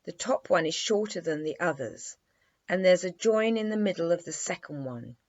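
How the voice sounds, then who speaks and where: Woman voicing a scornful little storybook girl, swooping pitch. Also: expressive, moderate pace, darkish tone, no echo. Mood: critical. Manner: bossy, teasing, exclamatory. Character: haughty, scornful little girl, a minister's daughter. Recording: dry, slightly dull lo-fi recording.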